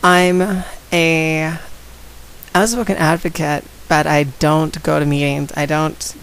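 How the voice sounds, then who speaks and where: A woman with a slight head cold and a raspy voice, talking casually and outspokenly straight to her viewers in a vlog. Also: deep voice, flat delivery, slow pace, low-quality recording. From San Antonio, Texas. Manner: outspoken, direct, addresses viewers. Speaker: vlogger. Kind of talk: casual talk to camera.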